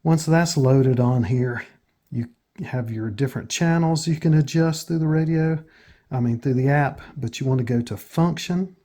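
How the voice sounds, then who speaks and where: An American man hosting a casual step-by-step tutorial in a plain, matter-of-fact way with hesitant pauses. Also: mid-range voice, dark tone. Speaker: tutorial host.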